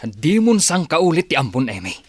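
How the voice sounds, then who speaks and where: A man's voice: fairly high pitch, sing-song, normal speed, breathy edge, no echo, studio quality. An actor playing a protective, exasperated father and husband in a Filipino radio drama, pleading and reproachful.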